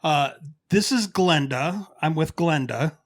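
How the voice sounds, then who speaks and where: A man playing an earnest, stiff husband guesting on a podcast, formal and halting with many "uh"s. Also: a little high, lively intonation. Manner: formal, oddly stiff, says "uh" a lot.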